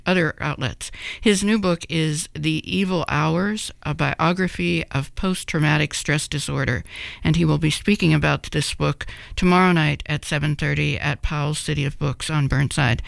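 A woman radio host in a studio, giving measured, formal introductions to her guest. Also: low voice, gravelly, no room sound. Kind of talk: radio interview.

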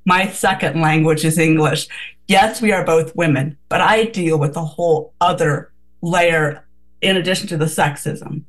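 Earnest woman, low-pitched reflective talk. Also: fairly even intonation, measured pace. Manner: earnest, reflective, many restarts. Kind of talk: casual radio talk.

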